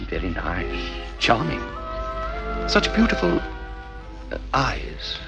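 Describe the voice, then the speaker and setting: An actor playing a meek, henpecked little husband in an old radio drama, wryly resigned and hesitant. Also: male voice, mid-range voice, wildly swooping pitch, slow pace, gravelly, full character voice, rough recording. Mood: timidly smitten. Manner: hesitant, plaintive, wry. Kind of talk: old-time radio comedy-drama acting. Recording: old radio show with music behind.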